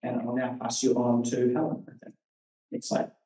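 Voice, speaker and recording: Male presenter, measured and reflective, monotone, captured from a distance in an echoey hall. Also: medium pitch. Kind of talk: conference presentation. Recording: roomy hall, distant mic.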